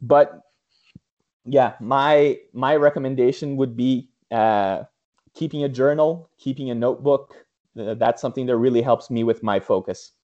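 A man's voice: mid-range voice, moderate pace, warm tone. A young man on a video call talking with many fillers and restarts, recorded indoors through earphones. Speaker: interviewer. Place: small room indoors. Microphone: earphone headset on video call. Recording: dull lo-fi video call audio.

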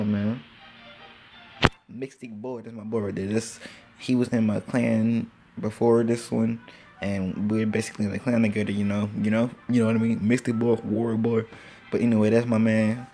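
Teen male gaming host, low and gravelly, laid-back and rambling, phone-recorded with faint background noise. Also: flat delivery, normal speed, dark tone, soft background music. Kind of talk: casual gameplay commentary. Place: home with train passing outside. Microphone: phone screen recorder.

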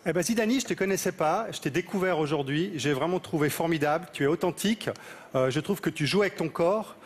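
A man in his forties, a jury member giving earnest, measured critique on a TV show. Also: fairly high pitch. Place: large TV studio with audience. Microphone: headset mic.

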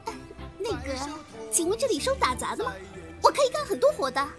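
A woman's voice: very high-pitched, big pitch swings, fairly quick. A woman playing a melodramatic, overworked servant girl in a comedy audio drama, whining and exclaiming with cheeky desperation. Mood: pleading. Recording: loud music behind the voice.

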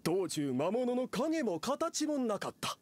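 A man's voice: very high voice, very animated, slow pace, no room sound, heavily acted. A man playing a confident merchant and devout believer, explaining in an assured anime game style. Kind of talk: Japanese anime game acting.

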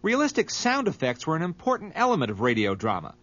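A man's voice: on the high side, huge pitch swings, quick pace, lo-fi sound, very noisy. A man reading scripted documentary narration.

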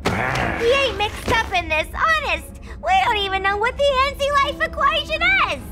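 A squeaky wisecracking villainess. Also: female voice, wildly swooping pitch, normal speed, heavily acted. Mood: cheeky. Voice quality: squeaky, brassy. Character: giddy wisecracking female villain sidekick. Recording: game soundtrack music behind voice.

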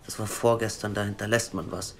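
A jittery, gravelly hacker. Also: male voice, fairly low pitch, slight background noise. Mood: tense. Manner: stammering, hurried, excitable. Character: nervous male hacker colleague Moritz. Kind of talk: radio drama acting.

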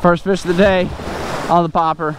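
Young man, fishing vlogger, fairly high voice, chatty self-narration on a beach, clear wave noise behind. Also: moderate pace. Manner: excited, talking to himself between casts. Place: open beach at the surf line, outdoors. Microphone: wearable action camera. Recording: outdoor wind and surf noise. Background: surf and waves.

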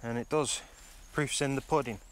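A man's voice: mid-range voice, fairly even intonation, moderate pace, a little hiss or hum. A man, chatting casually and thinking aloud as he explores outdoors, recorded on a phone held at arm's length. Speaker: vlogger. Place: outdoors in a forest.

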